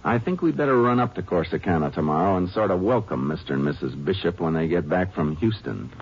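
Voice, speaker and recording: An actor playing a calm, methodical Texas Ranger who narrates the case in a 1950s radio drama, plain-spoken and steady. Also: male voice, low voice, a little fast. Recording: dull lo-fi old radio recording with music.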